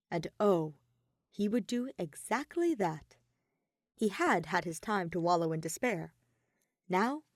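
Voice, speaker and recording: A woman narrates fiction in a medium-pitched voice. She reads dramatically, pausing deliberately for emphasis. The recording is dry, with no room sound. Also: a little mellow, no room sound.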